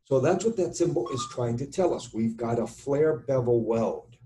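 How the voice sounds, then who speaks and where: A middle-aged man giving an informal tutorial as an instructor at his computer, halting and deliberate as he thinks aloud. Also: mid-range voice, expressive, warm tone, slight background noise. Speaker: structural engineering instructor. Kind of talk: informal tutorial explanation over screen share. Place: indoors at a computer, webcam.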